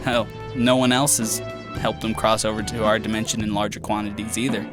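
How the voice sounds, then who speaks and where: An actor playing a young man haunted by memory gaps, talking to himself in a halting, self-questioning audio drama monologue. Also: lowish voice, little pitch movement, lightly acted. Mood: brooding. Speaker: lead character's monologue. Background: music.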